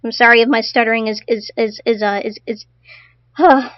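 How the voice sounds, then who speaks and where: A woman's voice: fairly high pitch, steady tone, normal speed, no room sound, muffled recording. A woman streaming casually to her chat, stuttering often and repeating her words. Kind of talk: casual live-stream commentary.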